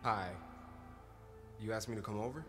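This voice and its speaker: An actor playing a gentle, caring, protective man in a stage drama reading. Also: lowish voice, moderate pace, big-room sound, soft background music, natural voice. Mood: casual.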